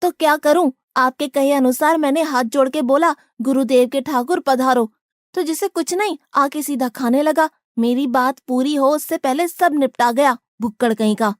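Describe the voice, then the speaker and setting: A woman voicing a naive, gluttonous, blunt young male disciple in an animated moral story, artless and cheeky. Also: high voice, moderate pace, dry recording, clean studio sound, lightly acted. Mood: complaining.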